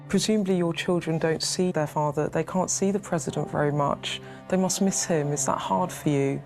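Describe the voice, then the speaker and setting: A woman's voice: sits low, steady tone, moderate pace, darkish tone, faint music behind. A woman putting questions as an interviewer.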